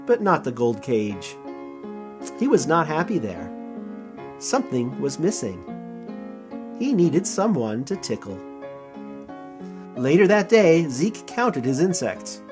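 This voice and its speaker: A man narrates a children's storybook over a music backing. His pitch moves up and down freely, and he leans on key words with playful expression. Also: takes it slowly, pauses often. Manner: animated, expressive, playful emphasis. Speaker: author reading own picture book. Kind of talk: children's storybook read-aloud.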